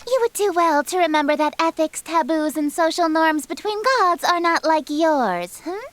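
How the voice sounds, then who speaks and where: A woman's voice: very high-pitched, expressive, moderate pace, no echo. A woman voicing a haughty, theatrical eldritch goddess girl in a game, coy and menacing with pompous, archaic flourishes. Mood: condescending, coy. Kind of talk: scripted visual novel voice acting.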